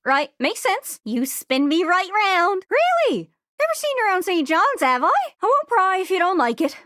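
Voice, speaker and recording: A young, excitable globe come to life in a video game. Her voice is very high and leaps around with lively intonation, recorded close and dry. She has a Newfoundland accent and a friendly, folksy, curious way of rambling on. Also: normal speed, no echo, heavily acted. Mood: curious, chatty. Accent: Newfoundland Canadian. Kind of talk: video game character acting.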